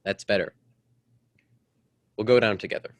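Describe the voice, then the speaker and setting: Man playing deep-voiced, domineering politician husband. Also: steady tone, dry recording, lightly acted. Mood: satisfied, controlling. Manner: brisk, formal, patronizing. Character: stuffy, domineering politician husband in a crime melodrama. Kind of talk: old-time radio drama acting.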